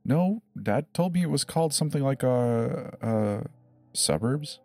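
A man's voice: medium pitch, lively intonation, a little slow, a little mellow, dry recording, soft background music. A man voicing a scared seven-year-old boy in a dramatized horror story, hesitant and pleading, stammering over his words. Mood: anxious.

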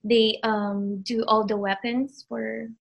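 A woman's voice: on the high side, flat delivery, takes it slowly, vocal fry. A woman in her thirties from the Philippines whose first language is Tagalog, speaking with a Filipino accent in a casual interview, hesitant with many fillers and restarts. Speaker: graduate of an online program.

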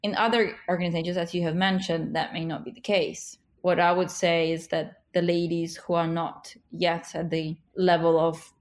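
Earnest woman with a lower voice. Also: little pitch movement. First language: Russian and Ukrainian. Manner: earnest, encouraging, slow emphatic phrasing. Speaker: guest, author and business leader. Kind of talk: conversational interview answers.

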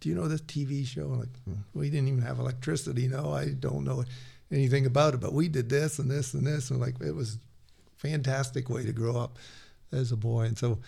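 A man talking as a podcast guest, halting and restarting often, laughing easily. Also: medium pitch, steady tone, creaky, dark tone, breathy edge. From Peru. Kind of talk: casual conversation.

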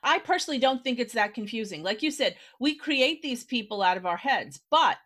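A woman's voice: fairly high pitch, lively intonation, a little fast, fairly bright tone. A woman hosting a casual interview, talking in a direct, explanatory way and checking in with the listener as she goes. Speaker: podcast host, writer.

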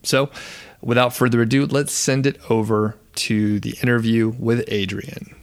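A man's voice: mid-range voice, little pitch movement, measured pace. A man hosting a casual interview, upbeat and encouraging, with some fillers. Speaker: host.

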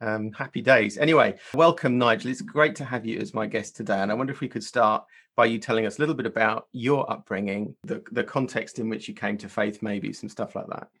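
A man hosting a faith podcast, reading a scripted intro and then chatting with a guest in an earnest, measured way. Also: on the low side, a bit bright, slightly rough. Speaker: podcast host.

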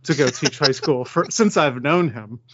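A man's voice: medium pitch, moderate pace, a bit bright, dull audio. A man chatting casually as the host of a podcast. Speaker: podcast host and high school teacher. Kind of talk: casual conversation.

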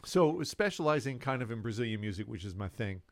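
An older man, a musician, telling his story in a relaxed, rambling way with many fillers and restarts. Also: mid-range voice, fast talker, no room sound. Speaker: musician guest. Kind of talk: casual storytelling interview.

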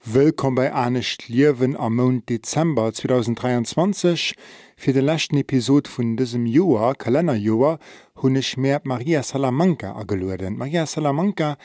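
A man with a Luxembourgish accent hosting a radio interview podcast from a studio, with halting delivery and self-corrections. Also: medium pitch, dark tone, no echo. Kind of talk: interview and show introduction.

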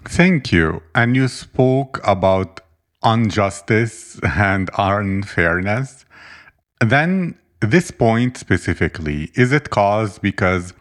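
A man's voice: on the low side, animated, slow pace. A man interviewing a guest, asking long, earnest, meandering questions in a conversational way. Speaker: interviewer, host.

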